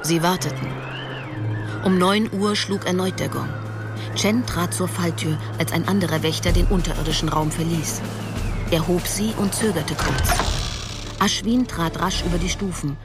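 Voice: medium pitch, steady tone, moderate pace. A narrator reading a scripted fantasy story for a radio drama. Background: music.

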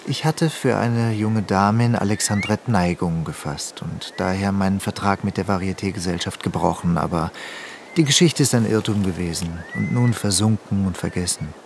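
An actor playing a worldly, reserved journalist with a measured, suave manner in a radio drama. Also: male voice, low-pitched, gravelly, background noise. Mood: reserved, confiding. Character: worldly male Greek journalist, courting, reserved.

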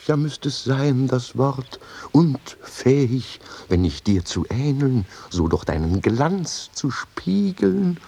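A man's voice: medium pitch, vocal fry, warm tone, slightly rough, heavily acted. An actor playing an earthy, stubborn wine farmer in a radio play, declaiming and savouring his words. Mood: awed, tongue-tied. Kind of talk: theatrical radio play acting with some singing.

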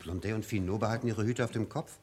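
An actor in a German radio drama playing a naive, curious young poet. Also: male voice, on the low side, fairly even intonation, fast talker, darkish tone, close to an everyday voice. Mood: astonished.

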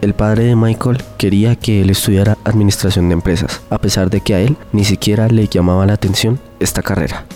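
Young Colombian male narrator over soft music. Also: on the low side, fairly even intonation, a little fast, few pauses. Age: teens to young adult. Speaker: student radio narrator. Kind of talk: scripted first-person narration.

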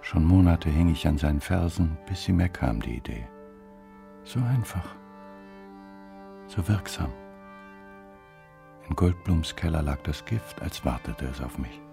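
An actor playing a brooding male poisoner who coldly and deliberately recounts his revenge in a radio drama monologue. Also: a bass voice, animated, creaky, heavily acted. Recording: music bed under the voice.

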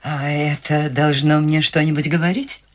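Woman playing a whimsical old lady, low voice. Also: flat delivery, normal speed, a little hiss or hum, natural voice. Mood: amused. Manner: lilting, rapturous, gently ironic. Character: spirited, whimsical elderly woman, free and dreamy. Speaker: eccentric old woman Maud. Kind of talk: radio play acting.

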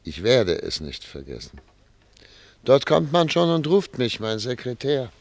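A man's voice: medium pitch, huge pitch swings, unhurried, no room sound. A man playing a troubled, grave statesman in a German radio drama, formal and brooding in his speech. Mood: solemn, resolved. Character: troubled, grave male statesman addressed as Excellency.

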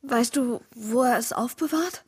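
An actress playing a wary, sceptical young pregnant woman in a German radio crime drama, with a husky, breathy voice. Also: on the high side, measured pace. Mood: hushed, wary.

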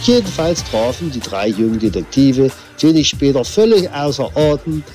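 A man's voice: medium pitch, lively intonation, moderate pace, darkish tone, faint music behind. A man giving scripted, tongue-in-cheek storytelling narration for an audio drama.

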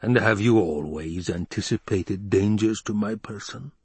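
A man's voice: lowish voice, husky, no room sound, full character voice. A man voicing a menacing, silken-voiced baron. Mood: silken menace. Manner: slow, silken menace broken by roars. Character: menacing obese male baron, silken then roaring.

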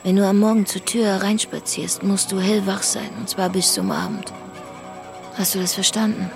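A woman's voice: mid-range voice, sing-song, moderate pace. An actress playing a worldly, weary mother in a German radio play, wry and musing. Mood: warning. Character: worldly, weary, dreamy young mother of a teenage boy. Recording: music clearly playing behind.

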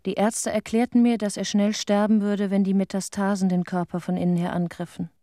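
An actress playing a haunted, tender middle-aged widow in a radio play, speaking in halting short phrases of her dead husband. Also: medium pitch, flat delivery, dark tone, no echo, clean studio sound, lightly acted. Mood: sorrowful. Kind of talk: intimate radio play monologue.

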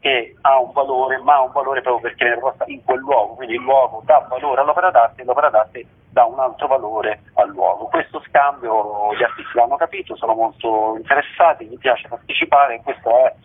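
Guy on a tinny phone line, kinda husky, chatty rambling interview answers. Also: mid-range voice, fairly quick, hardly any pauses. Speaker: festival organiser, interview guest. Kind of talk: informal explanatory radio interview. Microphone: telephone. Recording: muffled, narrow-band telephone line.

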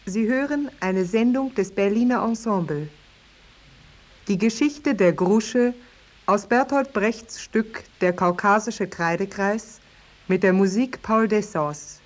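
A woman announcer reading a scripted 1950s German radio introduction in a formal, deliberate way. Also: medium pitch.

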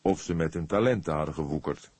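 A man playing a weary, probing old police detective with measured questioning in an audiobook. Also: a bass voice, darkish tone, breathy edge, dry recording.

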